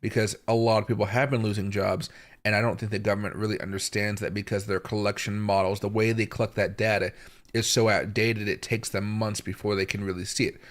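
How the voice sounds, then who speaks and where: A man with a hoarse voice from a chest infection, hosting a live market commentary stream at an unhurried pace with frequent pauses and fillers. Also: on the low side. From Seattle area, US. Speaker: crypto market livestream host.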